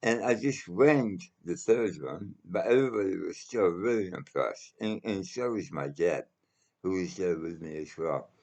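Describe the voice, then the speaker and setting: A man telling a casual personal anecdote in a halting, rambling way, pausing often as he goes. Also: deep voice, animated, a little mellow. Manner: halting, rambling delivery with frequent pauses. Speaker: storyteller.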